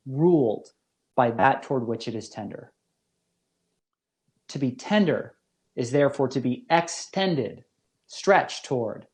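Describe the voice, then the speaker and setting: A man giving a scripted academic lecture as a professor, with deliberate, formal phrasing and careful emphasis. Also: mid-range voice, a little mellow. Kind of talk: scripted philosophy lecture narration.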